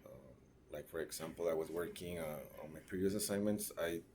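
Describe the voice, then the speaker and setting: A man gives a class presentation. He pauses often and searches for words, checking in with short tag questions as he goes. A faint hiss of noise sits under the recording. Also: extremely low pitch, darkish tone. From Mexico (raised in Ciudad Juárez). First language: Spanish. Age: 30s. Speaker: registered nurse.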